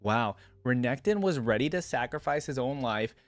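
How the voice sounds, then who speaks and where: A man's voice: medium pitch, animated, normal speed, no echo. A man hosting a reaction video, talking conversationally and thinking aloud as he comments and reads lore.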